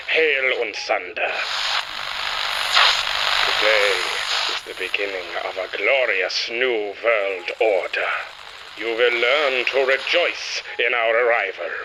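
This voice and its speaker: A slow, pompous radio-drama villain. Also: male voice, mid-range voice. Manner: slow, grandiose, declamatory. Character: pompous villain, menacing leader proclaiming world conquest. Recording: noisy crackly radio broadcast.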